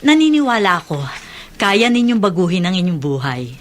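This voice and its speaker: A woman's voice: mid-range voice, big pitch swings, normal speed, a little hiss or hum, lightly acted. An actress with a Filipino accent playing a dedicated, compassionate, middle-aged woman doctor in a radio drama. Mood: encouraging. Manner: earnest, reassuring.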